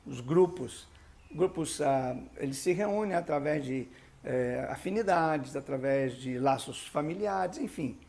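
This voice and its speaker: Gravelly Brazilian pastor, higher-pitched male voice. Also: expressive, measured pace, darkish tone. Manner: didactic, emphatic, conversational with rhetorical questions. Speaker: pastor teaching a church leadership course. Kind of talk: instructional video teaching with sermon-like exhortation.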